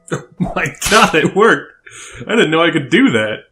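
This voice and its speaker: An actor voicing an excitable, teasing big brother, a young prince given to playful exclamations, in an audio drama. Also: medium pitch, slow pace, faint music behind, heavily acted. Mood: gleeful, teasing.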